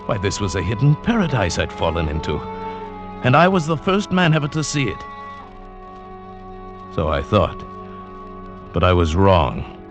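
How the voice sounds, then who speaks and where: An actor narrating as a climber who survived a great fall, telling it dramatically in first person in an old radio drama, with music behind him. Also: male voice, low voice. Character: adult male climber recounting his fall. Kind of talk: 1940s radio acting.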